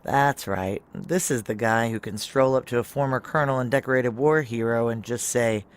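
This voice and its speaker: An actor playing a guarded, wry security chief in a noir audio drama, narrating and speaking in a clipped deadpan. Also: male voice, mid-range voice, normal speed, clear, resonant tone, natural voice.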